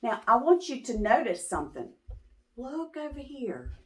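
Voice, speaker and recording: A woman reading a picture book aloud to young children as host, expressive and pausing to ask questions. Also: a little high.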